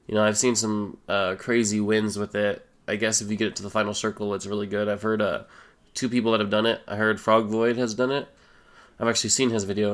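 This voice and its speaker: Young male gaming creator, a bit low-pitched, nasal from a cold, laid-back chatty delivery. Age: young adult. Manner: relaxed, rambling, many fillers. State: stuffy nose, a little sick. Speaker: gaming YouTuber. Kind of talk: casual Q and A voice-over.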